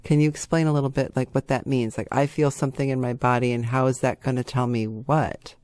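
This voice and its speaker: Male interview host, mid-pitched, conversational and explanatory, very dry close sound. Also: level tone, fairly quick, a little mellow, dry recording. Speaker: show host.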